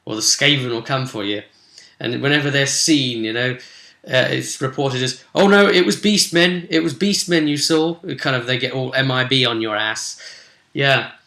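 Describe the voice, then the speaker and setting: A man casually rambling through a recap of a tabletop role-play session as its game master, jokey and animated, often interrupting himself. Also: mid-range voice, normal speed, vocal fry. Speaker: game master and vidcast host. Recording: echoey, roomy sound.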